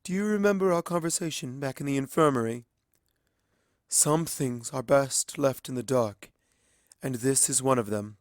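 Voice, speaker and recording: A man voicing a sorrowful, formal immortal pony princess with measured speech, in a storybook reading. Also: mid-range voice, no room sound, clean studio sound, voice pushed up, close to an everyday voice. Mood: stern, guarded.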